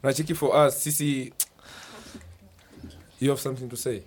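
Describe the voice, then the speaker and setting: A man's voice: mid-range voice, fairly even intonation, a little hiss or hum. A man in his twenties with a Kenyan accent, bantering casually as a guest on a podcast in a studio. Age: 20s. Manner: banters in Sheng, quick and joking. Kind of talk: casual chat.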